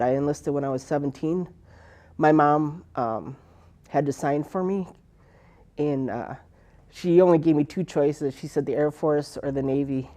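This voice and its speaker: A woman's voice: deep voice, mellow. An older woman, an Air Force veteran, recounting her service in a rambling oral history interview full of fillers and restarts. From United States.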